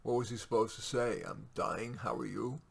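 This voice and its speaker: A man with a very low, husky voice reads short fiction aloud. His delivery is measured and expressive, in the manner of an author sharing his own stories, with plenty of gravelly creak in the tone. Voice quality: raspy, gravelly. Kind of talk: storytelling read aloud with casual asides.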